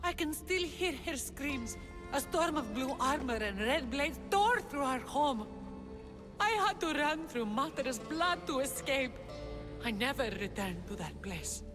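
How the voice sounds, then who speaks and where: A woman's voice: high voice, lively intonation, unhurried, long reverb tail. An actress playing a proud young woman leader, teasing and intense, in a game cutscene with music. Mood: grieving.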